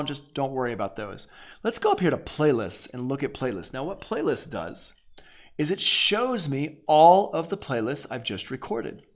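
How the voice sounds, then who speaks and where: A man giving a casual software tutorial as a music production teacher, informal and conversational, thinking aloud. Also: medium pitch.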